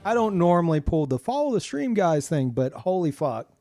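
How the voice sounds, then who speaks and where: A man's voice: fairly high pitch, big pitch swings, normal speed, warm tone, soft background music. A man in his thirties casually chatting and swearing as he reads out chat messages while streaming a game.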